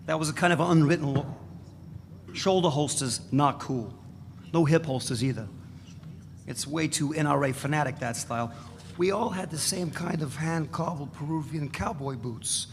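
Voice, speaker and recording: A man performs an unrepentant ex-militant with a fairly high male voice and profane swagger. He declaims bluntly in a dramatic reading, recorded in a reverberant hall with some background noise. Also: normal speed, natural voice. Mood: swaggering nostalgia. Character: swaggering unrepentant male ex-radical reminiscing about guns and boots.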